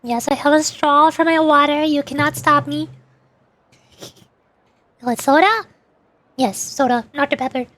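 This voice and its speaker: A woman's voice: high voice, measured pace, long pauses, fairly bright tone, a little hiss or hum. A woman streamer chatting casually and rambling, often giggling as she answers her chat.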